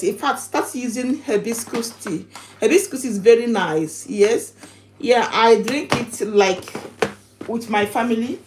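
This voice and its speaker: A woman chatting casually to her livestream viewers while unboxing, halting and repeating herself, recorded in a home kitchen. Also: a little high. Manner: halting, repetitive, chatty delivery. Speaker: livestream vlogger. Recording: noisy home recording with clicks.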